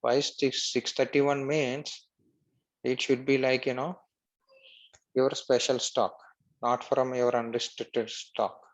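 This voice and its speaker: A man narrating a screen-share software tutorial step by step as an instructor. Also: medium pitch, little pitch movement, slow, long pauses, highs cut off.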